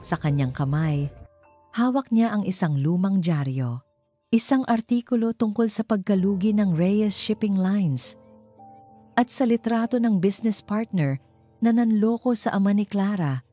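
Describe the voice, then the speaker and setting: A woman reading a scripted romance story in a measured, sentimental, dramatic storytelling manner. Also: medium pitch, dark tone. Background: background music.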